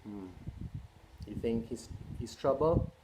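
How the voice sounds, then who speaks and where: A man in his twenties reading a storybook aloud to children, expressive, with chatty questions to his listeners. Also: mid-range voice, slow, noisy background. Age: 20s. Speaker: children's read-aloud host and early childhood educator.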